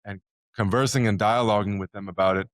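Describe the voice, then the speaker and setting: A man's voice: lowish voice, steady tone, brisk, no echo. A man who hosts a podcast and teaches as a professor, talking earnestly and reflectively, often saying "you know". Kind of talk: casual conversation. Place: live recording at a conference.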